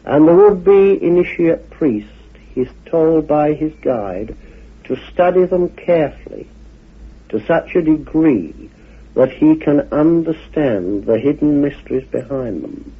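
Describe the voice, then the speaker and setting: A man explains esoteric history like a lecturer, in measured phrases with emphatic pauses. His voice is husky and very lively in pitch. The sound is narrow and muffled, like vintage radio heard down a phone line. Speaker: lecturer, guest speaker on a documentary.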